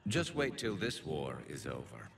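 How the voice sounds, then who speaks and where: Easygoing alien soldier, gravelly, wry, very animated intonation, game dialogue, slightly far off. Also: male voice, rumbling low, measured pace, heavily acted. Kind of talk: video game cutscene acting. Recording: distant, faintly noisy game capture.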